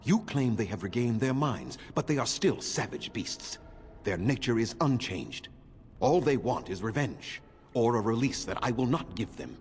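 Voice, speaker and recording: A man's voice: medium pitch, sing-song, moderate pace, natural voice. A man voicing a bitter old elf elder with a sweeping voice. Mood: stern. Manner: stern, grieving, declamatory. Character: ancient bitter elf keeper, proud and vengeful. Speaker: game character. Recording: reverberant game audio with faint noise.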